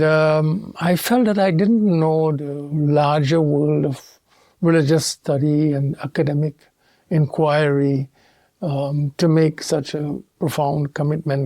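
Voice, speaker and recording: A man from Trinidad and Tobago, a theologian speaking as an interview guest in a measured, thoughtful way with pauses and restarts. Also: fairly high pitch, warm tone, no room sound. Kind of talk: reflective interview conversation.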